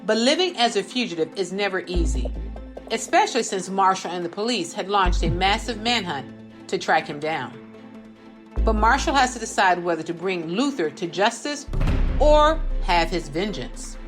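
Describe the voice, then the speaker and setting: Lively female trailer narrator over music. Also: medium pitch, moderate pace. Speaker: promo narrator. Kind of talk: dramatic scripted book trailer narration.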